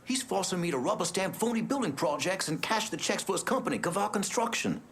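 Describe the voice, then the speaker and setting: Nervous, guilty city clerk with swooping pitch. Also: male voice, expressive, quick pace, fairly bright tone, slight background noise. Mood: ashamed, anxious. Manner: hesitant, anxious, pleading. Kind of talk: video game dialogue.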